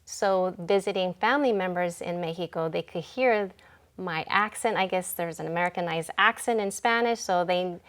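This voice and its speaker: A woman in her forties, a school principal, talking in a relaxed interview in a reflective, unhurried way with many ums and pauses. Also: lowish voice. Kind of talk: casual interview talk.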